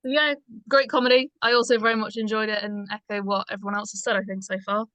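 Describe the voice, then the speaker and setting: A woman's voice: mid-range voice, flat delivery, fast talker, a bit bright, dry recording. A woman giving casual panel feedback, tentative and searching for her words. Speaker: script reading panellist.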